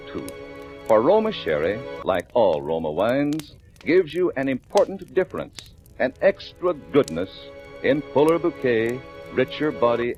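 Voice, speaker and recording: Old-timey american radio announcer guy, very animated sales pitch, bit of music. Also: lo-fi sound. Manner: polished, emphatic sales delivery. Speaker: radio announcer and sponsor spokesman. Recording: vintage 1940s radio broadcast with music.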